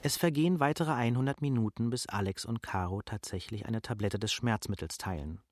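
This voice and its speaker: A man narrating a scripted radio drama as a sober literary storyteller, measured and dryly ironic. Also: fairly low pitch, natural voice. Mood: matter-of-fact.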